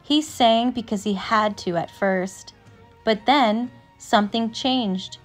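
A woman narrating a picture book aloud with measured, deliberate phrasing. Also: a little high, soft background music. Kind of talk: storybook read-aloud, scripted narration.

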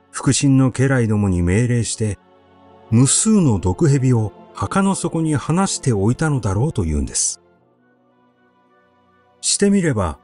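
Man reading as a reflective male storyteller with lively intonation. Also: mid-range voice. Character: male acquaintance recounting an eerie local legend.

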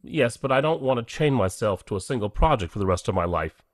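A man plays a confident genius scientist in an audio drama. His delivery is animated and assured, with a restless edge. The sound is close and dry. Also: mid-range voice, fast talker, no room sound, close to an everyday voice. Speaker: actor.

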